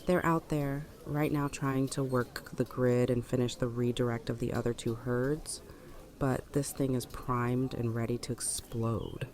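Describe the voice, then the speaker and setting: A woman's voice: extremely low pitch, steady tone, unhurried, darkish tone. A woman playing a blunt, practical, seasoned survivor in an audio drama. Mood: grim. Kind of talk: fan audio drama acting.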